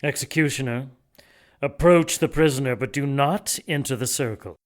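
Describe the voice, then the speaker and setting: An actor playing a menacing, pompous leader of a religious order in an audio drama, speaking formally and gloatingly. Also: male voice, mid-range voice, moderate pace, natural voice. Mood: stern, threatening.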